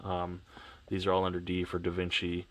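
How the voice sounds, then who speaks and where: A man's voice: low-pitched, monotone, moderate pace. A congested, sniffling man giving a casual, rambling walkthrough of his shelves at home, talking offhand and correcting himself, recorded on a camera on a tripod. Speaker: collector vlogger.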